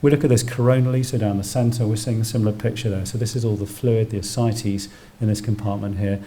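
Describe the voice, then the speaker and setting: A man's voice: lowish voice, steady tone, fast, warm tone. A British man giving a public medical lecture as a doctor, explaining technical terms plainly as he goes. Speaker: doctor lecturing on emergency radiology. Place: indoor public lecture venue.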